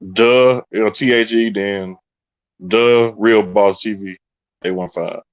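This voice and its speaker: A man's voice: lowish voice, fairly even intonation, slow pace, no echo, lo-fi sound. A man from Texas with a Southern accent, talking laid-back and slangy as co-host of a live-stream chat.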